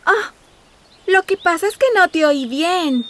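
Storybook vixen: high, syrupy, wheedling, slow and drawn out. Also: female voice, expressive, fairly bright tone, full character voice. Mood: sly, wheedling. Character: sly, flattering, sugary female fox. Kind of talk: animated fairy tale dub.

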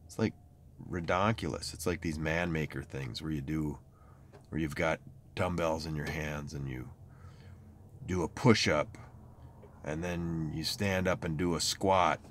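Low-voiced grumbling middle-aged man. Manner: chatty, grumbling, self-described chronic complainer. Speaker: fitness vlogger. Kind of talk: casual vlog talk. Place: parked car, then outside a truck, then home office.

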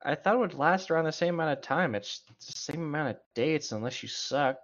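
A man's voice: a little high, expressive, fairly quick, fairly bright tone, dull audio. A man casually reading a story aloud and commenting on it in a sarcastic, mocking way.

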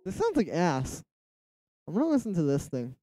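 A man's voice: fairly high pitch, moderate pace. A man with a nasal voice chatting casually on a livestream while producing a track. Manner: relaxed, musing aloud, reads chat replies. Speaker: music producer streamer. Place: home studio, indoors. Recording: livestream with loud music under voice. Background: electronic music playing.

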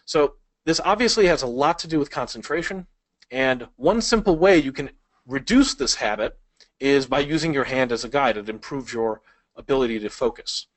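A man's voice: mid-range voice, expressive, normal speed. Casual male speed-reading instructor. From Chicago, United States. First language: Polish. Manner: casual, conversational teaching with frequent fillers. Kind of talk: online video lesson.

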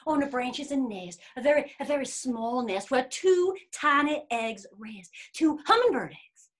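A woman voicing a boastful, sulky male bear in a storybook, with a blustering, drawn-out and emphatic delivery. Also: high-pitched, lively intonation. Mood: gloating. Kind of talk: animated storybook reading.

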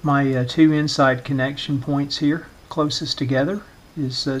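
A middle-aged or older man narrating a hands-on demonstration in a measured, explanatory way, often pausing mid-phrase. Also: mid-range voice. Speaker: vintage radio restorer giving a demonstration.

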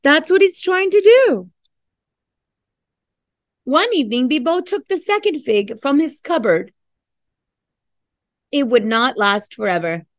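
Expressive, slow-paced woman reading to children. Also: high voice, no echo, studio quality. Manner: expressive, explains words and prompts listeners with questions. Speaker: art teacher reading a picture book aloud. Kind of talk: storybook read-aloud for children, with questions to listeners. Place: classroom, indoors.

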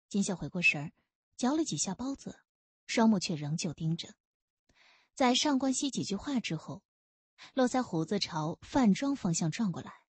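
A woman reading a historical novel aloud as an expressive audiobook narrator. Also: medium pitch, breathy edge, dry recording.

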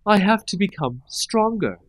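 A man reading aloud and voicing a stubborn young woman mage, pitching his voice up to play her insistence. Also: expressive, measured pace, no echo. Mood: determined.

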